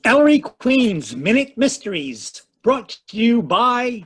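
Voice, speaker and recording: A man's voice: very high voice, very animated, takes it slowly, fairly bright tone, husky. A man announcing a vintage radio show in an emphatic, declamatory 1940s style. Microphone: home microphone on a video call.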